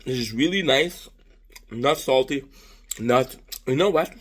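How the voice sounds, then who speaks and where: A man's voice: mid-range voice, expressive, measured pace. A man in his thirties from Canada with a French Canadian accent, chatting casually and rambling through a food-tasting vlog with profanity and self-deprecating jokes. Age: 30s. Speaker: vlogger.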